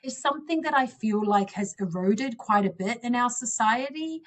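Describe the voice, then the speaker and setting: A woman's voice: on the high side, expressive, normal speed. A woman in her forties, originally from Samoa, answering interview questions earnestly and reflectively as a guest politician.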